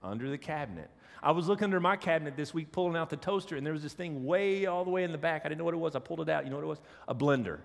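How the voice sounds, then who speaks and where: An associate pastor with a cold, his voice deeper than usual, preaching a sermon with jokes and asides to the congregation. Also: racing, gravelly. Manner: joking, conversational preaching with audience asides. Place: church sanctuary. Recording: long hall reverb.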